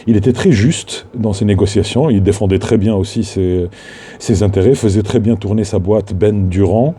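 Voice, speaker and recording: A Tunisian man in his fifties, a filmmaker recording a spoken tribute on his phone, halting and full of fillers and restarts. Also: on the low side, dark tone, slight background noise. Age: 50s. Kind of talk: spoken tribute to a late mentor.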